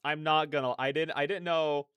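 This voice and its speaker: A man's voice: fairly high pitch, fairly even intonation, moderate pace, a bit bright, clean tone, no room sound. A man giving casual, effusive, slangy reaction commentary as a streamer, often repeating his phrases.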